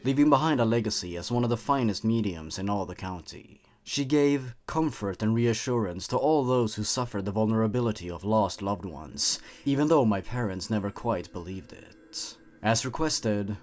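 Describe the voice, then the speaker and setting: A man with a fairly low voice reads eerie short stories aloud in a measured, scripted way. His delivery grows hushed and quiet as the tension builds, and it keeps an even, deliberate pace. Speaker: horror story narrator. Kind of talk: scripted horror narration.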